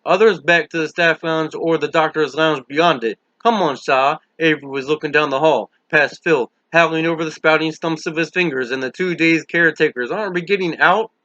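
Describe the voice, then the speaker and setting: A man's voice: on the high side, fairly even intonation, brisk, few pauses, bright, forceful tone, dry recording, dull audio. A man reading a novel aloud as scripted dramatic narration. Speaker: audiobook reader.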